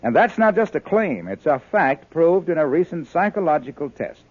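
High, animated American radio ad announcer, male. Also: normal speed, breathy edge, no echo. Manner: polished, emphatic salesmanship. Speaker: commercial announcer. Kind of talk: 1950s radio advertisement narration. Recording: dry, muffled old radio recording.

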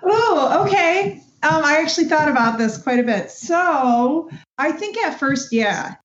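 A woman in a casual panel discussion, reasoning aloud in a thoughtful, measured way. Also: high voice, animated. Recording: echoey room, dull lo-fi audio.

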